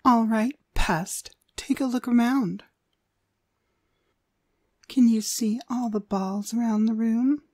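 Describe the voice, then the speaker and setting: A woman's voice: fairly high pitch, darkish tone, no room sound, studio quality. A woman playing a cruel, domineering demonic dog trainer in an ASMR roleplay, contemptuous and commanding in her delivery. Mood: scolding, commanding. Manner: contemptuous, commanding, slow and deliberate. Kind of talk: ASMR roleplay, frequent breathy whispering.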